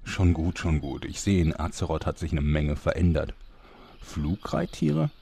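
A man's voice: rumbling low, very animated, a little slow, gravelly, dark tone, slightly rough, full character voice. A man playing a pompous, grumpy old-timer narrator in a mock-epic audio play, given to chatty asides. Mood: flustered, indignant. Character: grand, grumpy old-timer male narrator of heroic tales.

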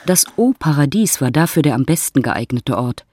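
A man's voice: a little high, lively intonation, moderate pace, a little mellow, breathy edge, no room sound. A man narrating a literary radio drama in a scripted storytelling style.

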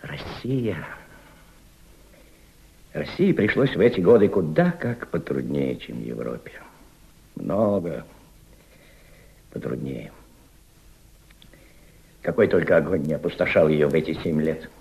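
An actor playing an impassioned senior Soviet diplomat in a radio drama, mentoring a young subordinate with emphatic, didactic rhetoric and deliberate repetition. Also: male voice, very deep voice, sing-song, gravelly, warm tone, heavily acted. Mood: grave, persuasive. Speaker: actor in an audio play.

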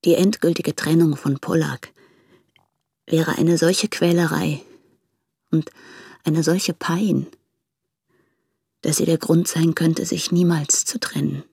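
An actress playing an anguished married woman in a radio play, speaking hesitantly in a hushed, breathy voice. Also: low-pitched, steady tone, slow, pauses often, dry recording, exaggerated voice. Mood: resolute but pained. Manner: hesitant, subdued. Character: anguished married woman torn between husband and lover. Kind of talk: intimate German radio play monologue. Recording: close dry studio, music behind.

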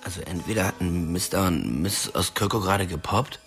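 A blunt young friend in a radio play, slangy and cheeky with a bitter edge. The voice is fairly low, with music clearly audible behind it. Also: male voice, expressive, fairly quick. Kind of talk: radio drama dialogue.

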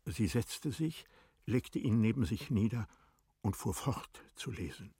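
A man narrating a classic literary radio drama in a measured, formal storytelling manner. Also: lowish voice, expressive, mellow, breathy edge, no echo, clean studio sound.

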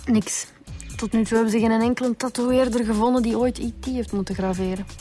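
An actress with a Flemish accent playing a chatty, keen police inspector in a radio drama. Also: female voice, fairly high pitch, fairly even intonation, fast talker, warm tone, faint music behind, lightly acted. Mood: musing.

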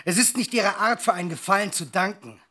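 A woman playing a curt, dismissive traveller in a radio drama, with a husky voice. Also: mid-range voice, animated, a little fast, bright, forceful tone.

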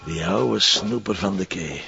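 A sardonic Flemish detective in a television drama, in a deep, creaky voice. He mutters drily and grudgingly. Noticeable background noise sits behind the speech. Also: measured pace. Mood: sardonic, grumbling. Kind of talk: naturalistic TV drama acting. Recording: muffled TV audio, clear background noise.

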